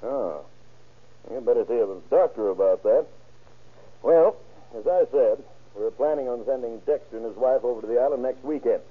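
A man's voice: mid-range voice, moderate pace, lightly acted. Man acting smug swindler on muffled old radio. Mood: businesslike, scheming. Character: scheming, self-assured male insurance swindler, crooked adjuster. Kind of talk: 1930s-40s radio crime drama acting. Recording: muffled, scratchy old-time radio recording.

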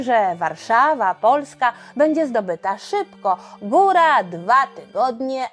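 A woman narrating history for children in a dramatic, explanatory storytelling style, speaking directly to listeners. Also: on the high side. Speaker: podcast narrator.

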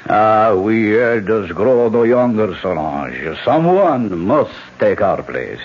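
An actor playing a suave, scheming older generalissimo with an urbane, oratorical manner in a radio drama. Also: male voice, on the low side, very animated, slow, husky, full character voice. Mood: falsely gracious. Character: suave, scheming elder generalissimo, falsely courteous. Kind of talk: old-time radio drama acting. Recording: dull old radio broadcast.

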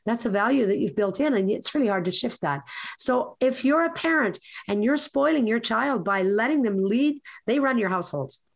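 A woman with a raspy, hoarse voice hosting a podcast, giving earnest, rambling advice in a casual lecturing way. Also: a little high, expressive, normal speed. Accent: Canadian. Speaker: podcast host.

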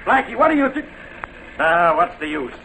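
An irritable American police inspector in an old radio serial. His fairly high voice blusters and lurches up and down in gruff exasperation. The sound has the light noise of a vintage broadcast. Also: very animated, moderate pace, pushed and bright, heavily acted, rough recording. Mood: exasperated. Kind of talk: 1940s radio detective drama acting.

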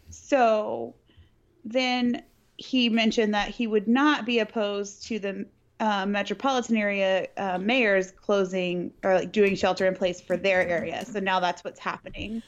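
A woman's voice: mid-range voice, muffled recording. A woman co-hosting a podcast in casual, profane, joking chat, with a scratchy, partly lost voice. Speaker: podcast co-host.

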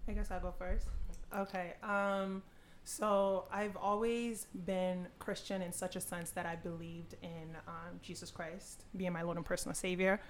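A woman's voice: lowish voice, flat delivery, a little slow, slight background noise. A woman in her twenties, a podcast guest casually sharing her personal story. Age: 20s.

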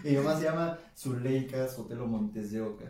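A man's voice: mid-range voice, fairly quick, distant mic. A young Mexican man in his late teens trading laid-back, slang-heavy banter with a friend. He sits back from the mic in a very echoey room. A faint hiss runs underneath. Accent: Mexican Spanish. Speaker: co-host. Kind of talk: casual chat.

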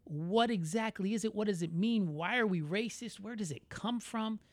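A man with an American accent co-hosting a podcast, talking in a candid, reflective and measured way. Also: high voice, dry recording. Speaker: podcast co-host. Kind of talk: casual conversational podcast.

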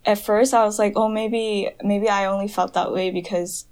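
A young woman from Hong Kong talks as a podcast guest. She rambles in a loose, chatty way, full of fillers and laughter, with a voice of middling pitch. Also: fairly even intonation, normal speed, darkish tone. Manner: chatty, many fillers, laughs while telling. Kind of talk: casual chat.

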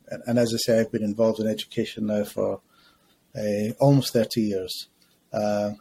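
A man in his fifties, a longtime educator, speaking as a podcast guest in a measured, reflective way with frequent hesitations. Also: lowish voice, darkish tone, slight background noise. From Scotland. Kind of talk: conversational podcast interview.

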